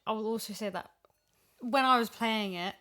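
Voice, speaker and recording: A woman's voice: a little high, moderate pace, a bit bright. A woman chatting casually as a voice actor about her work, reflective and working through her ideas as she speaks. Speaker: voice actor discussing her character. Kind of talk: casual discussion.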